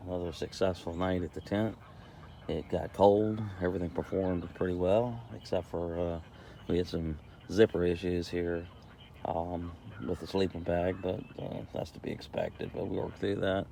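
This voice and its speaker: A man giving a casual, plain-spoken product review as a camping vlogger, halting and repeating himself for emphasis. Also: sits low, creaky. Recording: steady background noise.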